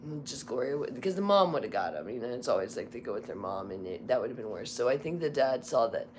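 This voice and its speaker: A woman chatting casually on a livestream, hoarse from losing her voice, rambling with many fillers and restarts. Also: extremely low pitch, big pitch swings, fast, noisy background. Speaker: livestream host.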